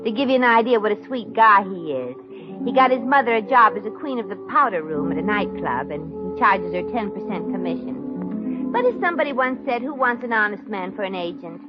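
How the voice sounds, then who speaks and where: A woman's voice: mid-range voice, animated, brisk, close to an everyday voice. An actress playing a brash, wisecracking, good-hearted showgirl in a 1950s radio comedy, with a sassy, slangy delivery. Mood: breezy, wry. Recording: dull old radio recording, faint music.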